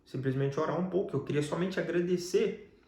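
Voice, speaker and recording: A man's voice: fairly high pitch, fairly quick, darkish tone. A man with a Brazilian Portuguese accent tells a personal religious testimony as a guest, in conversational storytelling. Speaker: guest telling his life story. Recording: echoey, reverberant room.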